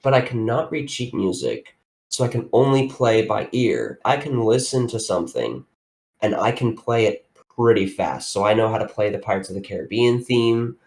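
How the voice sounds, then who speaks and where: A young man co-hosting a casual podcast, telling stories in a rambling, animated way with many restarts. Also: fairly low pitch, moderate pace, rough recording. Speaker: podcast co-host. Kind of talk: casual chat, storytelling.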